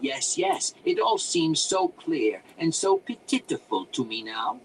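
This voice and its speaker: A man's voice: a little high, wildly swooping pitch, slow pace, slight background noise, pitched above the performer's own voice, full character voice, low-quality recording. A man voicing a hysterical, cranky old hermit wizard in an audiobook, rambling and shrieking in broken grammar. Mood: smug musing. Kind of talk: audiobook character voice.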